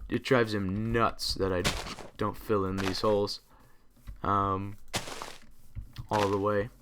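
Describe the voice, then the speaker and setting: Tired, raspy young man gaming. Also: on the low side, level tone, slow. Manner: rambling, talks to himself while playing. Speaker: gaming channel host. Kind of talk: casual gameplay commentary. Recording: clear typing noise behind. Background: keyboard typing and clicks.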